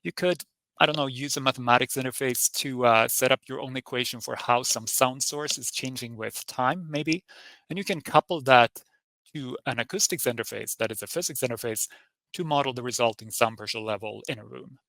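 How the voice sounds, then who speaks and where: A man's voice: medium pitch, a little fast, creaky, sharp tone, no echo, low-quality recording. Swedish-accented man presenting a technical webinar. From Sweden. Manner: friendly, explanatory, lightly informal.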